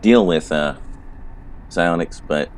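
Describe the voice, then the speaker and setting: Hoarse deep-voiced man swearing casually. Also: slow. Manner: profane, laid-back rambling. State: sore throat, a little hoarse. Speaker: let's play host. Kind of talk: casual gameplay commentary.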